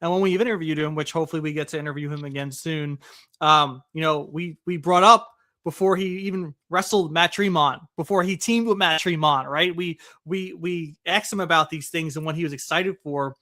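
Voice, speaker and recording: A man co-hosting a casual podcast, chatting with excitement and plenty of fillers. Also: on the high side, no echo, studio quality. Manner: excited, quick, conversational with filler. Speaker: podcast co-host. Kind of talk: casual wrestling podcast chat.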